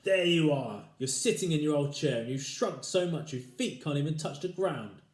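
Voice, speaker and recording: A man's voice: mid-range voice, very animated, pitched above the performer's own voice, close to an everyday voice. A man doing a gloating, spiteful old husband. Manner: loud, slow, gloating taunts. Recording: echoey room.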